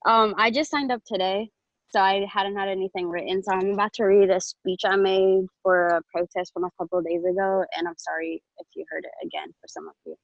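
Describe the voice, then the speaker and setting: A woman's voice: mid-range voice, fairly even intonation, fairly quick, no echo. Young woman reading a speech over a call. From Ethiopia. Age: around 20. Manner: earnest, personal testimony with fillers. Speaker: public commenter. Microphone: video call. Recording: dull lo-fi video call audio.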